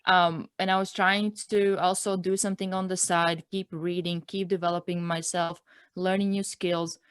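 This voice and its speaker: A woman in her twenties from Latvia, speaking English with a Latvian accent as her second language, telling her own story as an interview guest in a reflective, earnest way, filling pauses with "like" and "um". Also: lowish voice, level tone, smooth, no room sound, clean studio sound. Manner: reflective, earnest, peppers speech with "like" and "um". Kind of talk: personal story in a casual interview.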